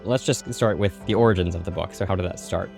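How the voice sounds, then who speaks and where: Low-voiced, fast-talking male interviewer. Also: vocal fry, darkish tone, soft background music. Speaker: interviewer and host. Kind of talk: scripted intro and conversational interviewing.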